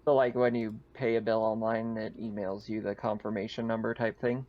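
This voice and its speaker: A man running a tabletop roleplaying game as game master, narrating casually in halting, improvised phrases. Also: on the low side, level tone, muffled recording.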